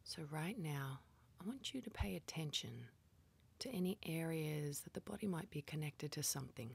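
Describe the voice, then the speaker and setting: Breathy, hushed woman guiding meditation. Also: low voice. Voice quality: soft, breathy, whispery. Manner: hushed, calm, gently instructing with pauses. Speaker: meditation guide. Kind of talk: guided meditation.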